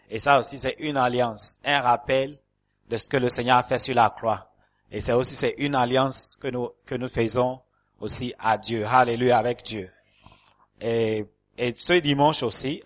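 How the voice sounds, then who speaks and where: A male pastor preaching a sermon to a congregation in a church hall. He speaks French as a second language, with fillers and repeated words, and breaks up his phrases with calls of alleluia. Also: mid-range voice.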